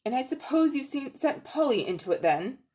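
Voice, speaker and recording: A woman's voice: high voice, big pitch swings, brisk, warm tone, voice pushed up. A woman voicing a blunt, indignant young boy in a storybook reading. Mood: angry, accusing. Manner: blunt, accusing.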